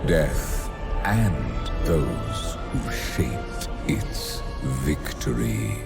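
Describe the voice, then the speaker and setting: Super deep evil aristocrat from a game cutscene, really slow n pompous, music behind. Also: male voice, very animated, heavily acted. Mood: gloating, grandiose. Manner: pompous, grandiloquent, theatrical. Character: haughty, cruel, aristocratic male villain lord, savoring triumph. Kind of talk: game cinematic villain monologue. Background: clear cinematic music.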